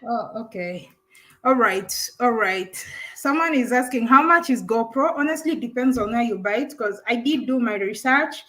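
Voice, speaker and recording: A woman's voice: fairly high pitch, animated, moderate pace, a bit bright, low-quality recording. A woman hosting a live stream, chatting casually with a caller and laughing often while she unboxes a camera.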